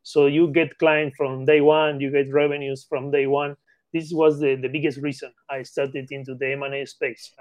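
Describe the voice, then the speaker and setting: Argentine man, eager, halting English. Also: medium pitch, level tone, darkish tone, no room sound. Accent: Argentine Spanish. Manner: eager, repeats phrases, halting non-native English. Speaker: guest, M&A expert. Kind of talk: casual interview talk.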